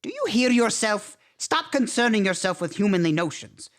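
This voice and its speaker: A man's voice: sits high, big pitch swings, moderate pace, fairly bright tone. An actor playing a courtly, easily flustered vampire father with formal, stilted phrasing in a campy horror comedy. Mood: exasperated, instructing.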